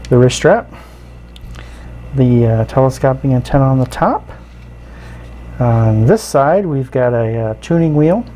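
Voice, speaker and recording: A man hosting a casual, unscripted hobby review, explaining features in a halting, easygoing way. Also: medium pitch, lively intonation, dark tone, a little hiss or hum. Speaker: radio hobbyist host reviewing gear. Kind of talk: casual unscripted product walkthrough.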